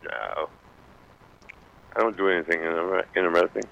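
A man's voice: deep voice, expressive, moderate pace, vocal fry, no room sound, lo-fi sound. A man calling in to a radio show, answering in short, plain-spoken remarks. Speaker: caller. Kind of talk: casual phone chat.

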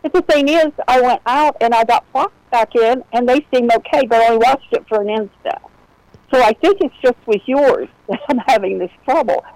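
A woman's voice: fairly high pitch, moderate pace, darkish tone, no room sound, poor audio. A woman calling in to a radio show, talking in a meandering, chatty way with hesitations. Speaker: caller. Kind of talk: casual phone chat.

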